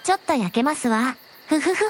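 A woman playing a gentle, very polite dragon princess with a softly teasing manner in a scripted audio drama. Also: sits high, lively intonation, a little fast. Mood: sweet, composed. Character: gentle polite dragon princess, a shrine maiden's alternate self.

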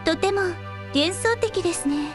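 A synthetic woman's voice playing a polite, gentle young shrine maiden in a radio drama. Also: very high voice, lively intonation, a little slow, music in the background. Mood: polite, calm. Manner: polite, formal.

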